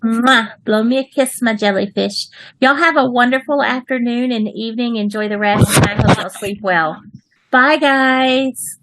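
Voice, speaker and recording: A woman's voice: on the high side, a little slow, fairly bright tone, dry recording, rough recording. A woman with a Texas accent teaching an online writing class to children. She is upbeat and encouraging and ends with folksy rhyming goodbyes. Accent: Texan. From Texas, United States. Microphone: video call.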